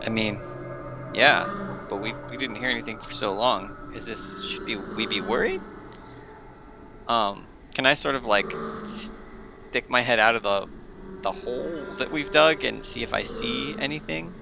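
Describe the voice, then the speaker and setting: A man's voice: medium pitch, measured pace, vocal fry, over music. A man playing a tabletop game, casually improvising in and out of character in a hesitant, questioning way.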